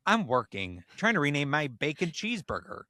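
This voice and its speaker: A vain fast-food clown from a comic story, acted out by a man, flirts in a hushed coo. The words are drawn out and emphatically enunciated, with a sultry purr, very close and dry. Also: on the high side, huge pitch swings, dry recording, voice pushed up, exaggerated voice. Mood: flirty, busy.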